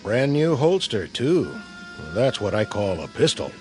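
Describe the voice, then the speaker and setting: An actor playing a war-weary American infantry sergeant in a radio drama, wry and laconic. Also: male voice, medium pitch, very animated, a little slow, a little mellow, faint music behind. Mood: sardonic. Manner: wry, laconic, drawling slang.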